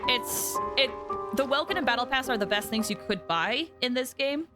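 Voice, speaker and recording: A woman streaming a game and chatting casually with viewers. Her voice is a little high, and game music plays clearly behind her. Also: lively intonation, normal speed.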